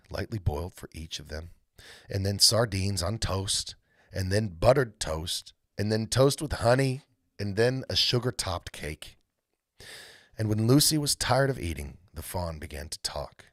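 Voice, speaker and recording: A man narrates a children's story aloud with very lively, swinging intonation. His voice is on the low side and a little husky, recorded close and dry. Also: dry recording. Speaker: read-aloud host, storyteller.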